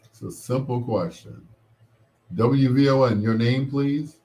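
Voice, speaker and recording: A man hosting a casual call-in radio show, talking in a wry, exasperated, drawn-out manner. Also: medium pitch, mellow. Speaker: radio talk show host.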